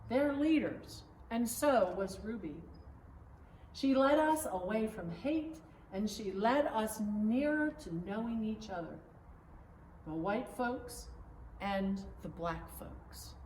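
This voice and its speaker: A woman reads a children's storybook aloud as narrator, phrase by phrase in a deliberate way. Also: medium pitch, expressive, darkish tone. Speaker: pastor reading a storybook. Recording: echoey room, distant mic, faint noise.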